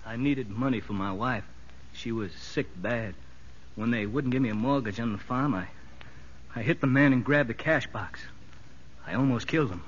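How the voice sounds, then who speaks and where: An actor playing a desperate, homesick convict in a 1950s radio drama, halting and anguished. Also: male voice, medium pitch, slight background noise, natural voice. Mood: anguished, confessing. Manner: halting, anguished, repeating himself. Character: desperate, homesick male convict pleading under hypnosis. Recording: dull, muffled vintage radio recording.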